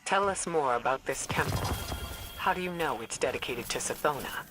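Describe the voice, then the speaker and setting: An actress playing a stern, sceptical intelligence chief in a video game, clipped and exacting. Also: female voice, a bass voice, lively intonation, moderate pace, music in the background, rough recording. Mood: stern, questioning.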